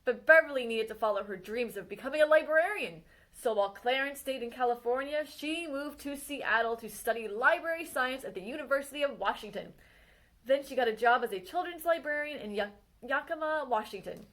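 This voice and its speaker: A woman librarian reading a picture book biography aloud for children, with expressive storytime delivery that slows down on exciting moments. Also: high voice.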